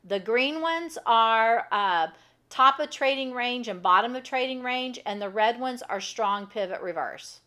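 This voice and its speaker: A woman's voice: fairly high pitch, unhurried, pushed and bright. A woman teaching a live online trading class, chatty and explaining a chart step by step. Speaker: trading instructor. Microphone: microphone first left off, then turned on.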